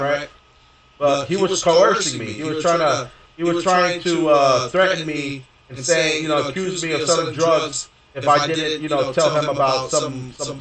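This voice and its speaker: A man's voice: on the high side, fairly bright tone. Rambling, profane young man in echoey room. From Houston, Texas. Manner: profane, rambling, many fillers and restarts. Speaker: gaming streamer. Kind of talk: casual rambling storytelling. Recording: very echoey room, distant from mic.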